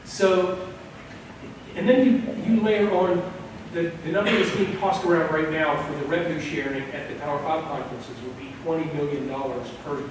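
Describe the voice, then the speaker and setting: A man, an economics professor, explaining research on a panel in a measured lecturing way. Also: fairly high pitch. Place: large echoey event hall. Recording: echoey hall from far off, noisy.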